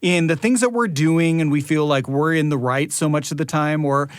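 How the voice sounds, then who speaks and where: A man's voice: fairly high pitch, moderate pace, smooth, no echo, studio quality. A middle-aged American man speaking as a podcast co-host in a conversational presenting style. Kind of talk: conversational podcast hosting and credit reading. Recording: music playing behind the voice. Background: music.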